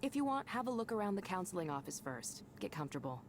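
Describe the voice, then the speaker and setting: An actress playing a chatty, upbeat supervisor in a visual novel game, talking in brisk, reassuring corporate orientation patter. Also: female voice, lowish voice, big pitch swings, background noise.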